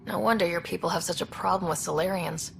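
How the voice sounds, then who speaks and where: A woman's voice: fairly low pitch, steady tone, quick pace, gravelly, a little hiss or hum. A woman playing a young space explorer in a video game, direct and inquisitive, in a plain conversational voice. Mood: curious, calm. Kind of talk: video game dialogue acting.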